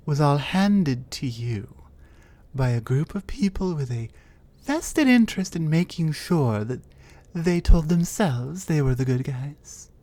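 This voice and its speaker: A large, territorial female cave troll, as a woman plays her in an ASMR audio roleplay. She talks low and slow, with a dark, mellow tone. Her manner is scornful and lecturing. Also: no echo, heavily acted.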